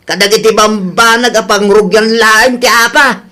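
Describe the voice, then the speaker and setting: A man's voice: high-pitched, steady tone, normal speed, sharp tone. A man playing a scolding, preachy village husband in a radio comedy drama, with an Ilocano accent.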